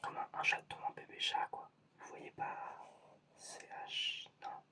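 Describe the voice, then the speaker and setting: Slow whispering ASMR eye examiner. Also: recorded from a distance. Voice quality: whispered, unvoiced. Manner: slow, patient, mock-puzzled questioning.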